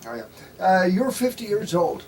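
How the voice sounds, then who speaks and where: Higher-pitched American man hosting, distant mic. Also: sing-song, measured pace, gravelly, a little mellow. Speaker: interview show host. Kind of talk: casual live interview chat. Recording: distant mic, faint ambient noise.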